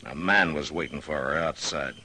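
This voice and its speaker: An American actor playing a stern, steady Old West marshal in a radio western, terse, authoritative and unhurried. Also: male voice, rumbling low, breathy edge, full character voice. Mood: pressing, accusing. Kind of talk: mid-century American western radio drama acting. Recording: noisy vintage radio recording.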